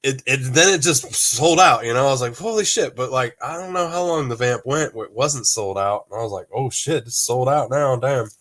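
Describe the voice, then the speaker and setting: A man's voice: medium pitch, brisk, few pauses, fairly bright tone. A man hosting a casual toy-collecting livestream, talking in a rambling, laid-back way with frequent laughs and some cursing, a little congested from allergies. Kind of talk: casual livestream chat with viewers.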